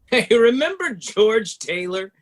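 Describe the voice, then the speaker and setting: A man's voice: sits high, sing-song, slow pace, full character voice. A man playing a chatty, wry banking man in a scripted table read.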